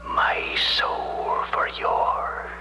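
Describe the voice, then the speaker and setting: An actor playing a sly, bitter male ghost in a 1970s radio drama, with a breathy, insinuating delivery. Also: lo-fi sound. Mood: coaxing. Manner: slow, insinuating, deliberate. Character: ghost of a slain Irish man, sly, bitter, tempting. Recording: long hollow reverb, hissy old radio broadcast.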